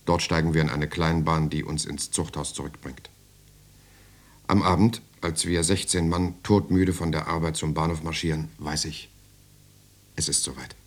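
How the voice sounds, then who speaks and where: An actor playing a weary political prisoner who narrates his story in measured, intimate short phrases in a radio play. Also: rumbling low, steady tone. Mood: weary, tense. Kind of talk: scripted dramatic narration.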